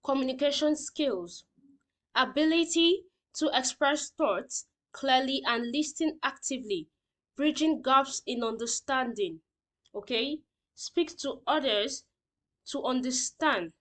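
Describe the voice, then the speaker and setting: A woman's voice: high-pitched, lively intonation, slow, a bit bright. A woman teacher reading out lesson points and explaining them simply, often checking that learners understand.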